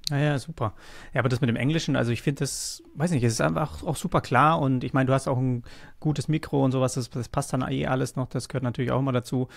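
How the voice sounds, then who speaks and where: Gravelly, enthusiastic German-speaking host. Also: male voice, medium pitch, fairly even intonation, fast talker, no echo. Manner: enthusiastic, rambling, many fillers. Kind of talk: casual interview chat.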